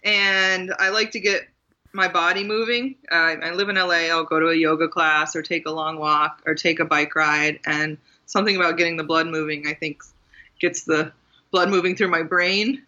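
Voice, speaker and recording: A woman's voice: fairly low pitch, steady tone, moderate pace, pushed and bright, muffled recording. A woman from Maryland, a guest author, talking casually in an interview with frequent fillers and laughs. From Maryland, USA. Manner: chatty, laughs often, many fillers.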